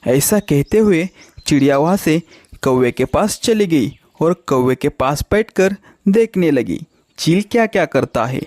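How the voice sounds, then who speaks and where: A man tells a children's animal story from a script. His voice is on the high side for a male narrator. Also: lively intonation, a little slow, darkish tone, no echo.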